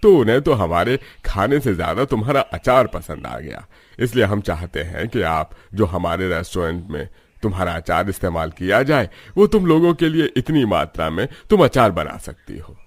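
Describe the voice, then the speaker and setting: Guy doing an old uncle type for a cartoon, lowish voice, kindly, unhurried, businesslike. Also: expressive, dry recording. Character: old male restaurant owner, kindly businesslike customer. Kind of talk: Hindi cartoon story dialogue.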